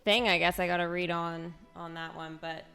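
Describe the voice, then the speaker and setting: A woman game streamer reacting casually to what she is playing, with a creaky edge to her voice. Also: on the low side, fairly even intonation, moderate pace, fairly bright tone, faint music behind.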